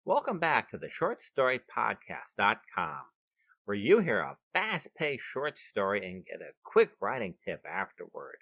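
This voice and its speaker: A man's voice: fairly low pitch, wildly swooping pitch, measured pace, creaky, fairly bright tone, no echo, lo-fi sound. A man hosting and narrating a scripted short story podcast with dramatic, emphatic delivery, recorded outdoors on a veranda. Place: veranda of a bed and breakfast, outdoors.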